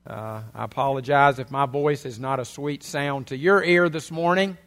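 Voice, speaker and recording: Older pastor with a gravelly voice. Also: male voice, mid-range voice, normal speed, a bit bright. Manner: folksy, chatty storytelling with many uhs. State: head cold. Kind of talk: sermon.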